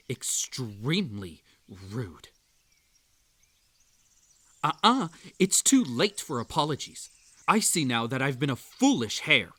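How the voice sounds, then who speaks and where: A man playing an obsessive, unhinged magical hare hosting a tea party in a roleplay audio, with a theatrical, halting delivery that swings between sweet coaxing and menace. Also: mid-range voice, fairly bright tone, no room sound, heavily acted. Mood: cold, wounded, then desperate, menacing. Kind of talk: roleplay audio acting, intimate second-person address.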